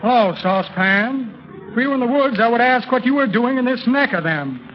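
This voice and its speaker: A man's voice: very high-pitched, lively intonation, fairly quick. An actor playing a blustery, shifty con man in a 1930s radio comedy, with florid patter and muttered asides. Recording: muffled 1930s radio recording.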